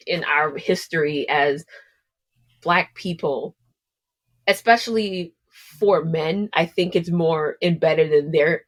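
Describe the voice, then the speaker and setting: A young adult woman from Guyana co-hosting a podcast, talking bluntly and with plenty of profanity in casual chat. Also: on the low side. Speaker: podcast co-host.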